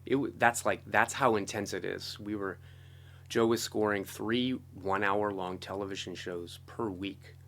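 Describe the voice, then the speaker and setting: A man's voice: on the low side, fairly even intonation, unhurried, a bit bright, a little hiss or hum. A middle-aged American man telling stories as an interview guest in a casual, enthusiastic, rambling way, often saying "like" and "you know". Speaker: interview guest, film and television composer. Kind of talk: casual conversational storytelling.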